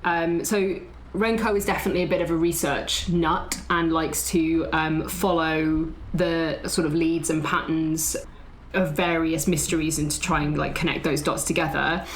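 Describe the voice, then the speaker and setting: A woman's voice: sits low, steady tone, normal speed, slight background noise, low-quality recording. A woman player casually improvising a description of her character for a tabletop roleplay game.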